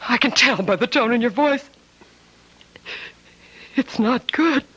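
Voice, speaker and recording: An actress playing an anxious, devoted wife in an old-time radio drama, halting and full of feeling. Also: female voice, a little high, a bit bright, breathy edge, lo-fi sound, exaggerated voice. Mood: distraught, pleading. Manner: emotional, halting, drawn-out delivery.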